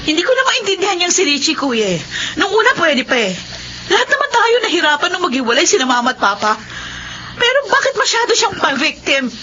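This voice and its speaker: An actress playing a caring but blunt adult daughter in a Filipino radio drama, emotive and scolding. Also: female voice, extremely high pitch, big pitch swings, fairly quick. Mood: frustrated. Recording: dull, slightly noisy radio recording.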